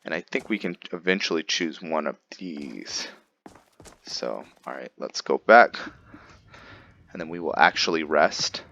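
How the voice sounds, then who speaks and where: Low-voiced man musing through a game. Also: lively intonation, a little slow, creaky, bright, forceful tone. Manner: musing, thinking aloud. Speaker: gamer narrating own roleplay playthrough. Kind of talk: casual gameplay commentary. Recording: slightly muffled, game music behind. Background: video game music.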